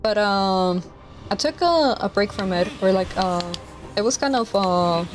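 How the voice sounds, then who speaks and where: A young woman in her twenties chats casually on a live stream while she plays. She speaks softly and hesitantly, with many fillers. Her mic is clipped to her collar, and faint game music runs underneath. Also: medium pitch, lively intonation, measured pace, low-quality recording. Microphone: lapel mic clipped to collar.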